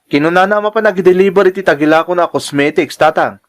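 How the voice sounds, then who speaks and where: A man's voice: sits high, fast talker, lightly acted. A man with an Ilocano accent playing a betrayed young husband in an audio drama, pleading and emotional. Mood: doubting, worried.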